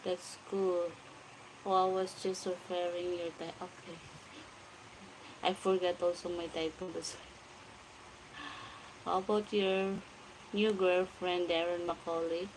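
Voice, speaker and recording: A woman's voice: fairly low pitch, flat delivery, slow pace, pauses often, a little hiss or hum, rough recording. A Filipino woman in her forties with a Filipino accent, chatting on a casual late-night home livestream and greeting viewers by name as she reads their comments aloud. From Philippines. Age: 40s. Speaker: livestream vlogger.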